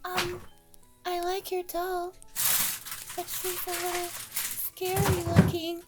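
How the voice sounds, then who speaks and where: A woman's voice: very high-pitched, completely flat delivery, takes it slowly, over loud music. A woman voicing a polite, sensitive little girl, earnest and frank, in a horror radio drama. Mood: earnest, shy. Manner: earnest, timid but frank.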